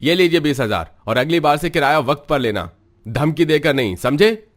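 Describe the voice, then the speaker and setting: Man doing a firm kind army major, calm and commanding, cartoon. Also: on the high side, moderate pace, natural voice. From Punjab. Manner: firm, warm-hearted, commanding. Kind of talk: cartoon voice acting.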